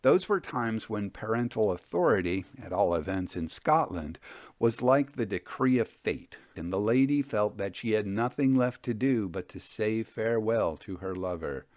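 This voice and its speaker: A man hosting and narrating old ghost stories in a measured, theatrical storytelling manner. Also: lowish voice, animated, darkish tone, no room sound. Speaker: host and storyteller.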